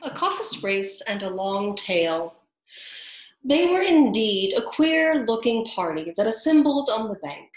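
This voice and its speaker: A woman reading a storybook aloud in an unhurried, chatty way, pausing to comment. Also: on the high side, sing-song. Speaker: reader. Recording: echoey room.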